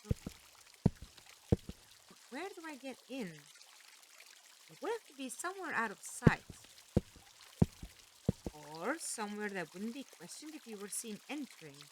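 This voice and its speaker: A woman playing an expressive, high-voiced young noblewoman. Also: a little slow, long pauses. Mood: musing, investigative. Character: determined, defiant young noblewoman investigating a plot. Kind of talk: audio drama acting. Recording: noisy recording with clicks and sound effects.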